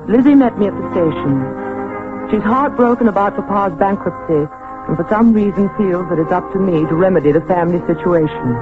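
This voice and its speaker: A woman's voice: mid-range voice, a little fast, lightly acted. An actress playing a genteel, impressionable young woman of 1900 in an old-time radio drama, politely and breathlessly admiring. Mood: confiding. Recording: tinny old radio recording, music behind.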